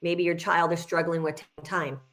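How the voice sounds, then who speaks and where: A woman's voice: lowish voice, level tone, normal speed, fairly bright tone, soft background music. A middle-aged woman speaking informally as a guest teacher, explaining things in an encouraging way with emphatic repetition. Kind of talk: informal educational talk, answering questions.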